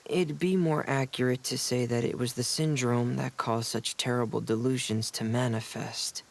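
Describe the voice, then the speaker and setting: A man playing a serious, careful doctor-like character in a video game, speaking in a measured, solemn way. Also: medium pitch, vocal fry.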